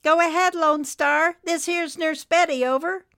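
A woman voicing a motherly, folksy nurse talking briskly over a trucker radio in an audiobook story. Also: very high-pitched, fairly even intonation, fairly bright tone, dry recording, studio quality, pitched above the performer's own voice, full character voice. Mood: brisk concern.

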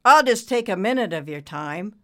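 A woman's voice: animated, normal speed, lightly acted. A woman voicing a meek, anxious small businessman in an audiobook, pleading hesitantly. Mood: pleading, worried. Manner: pleading, hesitant, high-strung. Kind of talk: audiobook character voice.

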